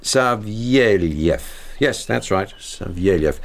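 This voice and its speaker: An actor playing a dry, patient British intelligence interrogator in a radio drama. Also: male voice, on the low side, expressive, slow, creaky, heavily acted. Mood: probing.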